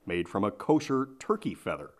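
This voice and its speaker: Low-voiced man reading a news report. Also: very animated. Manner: measured, formal reporter delivery. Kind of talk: scripted news narration.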